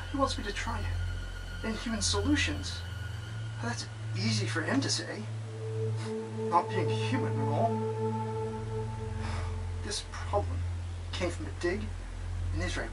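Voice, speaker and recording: A man's voice: high-pitched, low-quality recording. A man playing an anxious, polite doctor in an amateur audio drama, with chatty, old-fashioned turns of phrase. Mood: musing. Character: anxious, polite British male doctor, fretful scientist.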